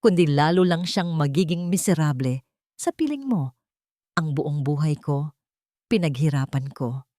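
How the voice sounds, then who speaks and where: A woman's voice: low voice, expressive, a little slow, pauses often, dark tone, dry recording, studio quality. A woman voicing a scornful, wealthy older mother-in-law who belittles and complains in a storytelling narration. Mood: bitter, reproachful.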